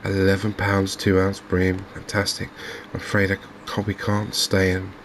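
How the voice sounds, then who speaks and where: A man giving calm, understated, softly spoken ASMR commentary on a video game, in an unhurried way. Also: deep voice, slight background noise. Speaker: gaming video host.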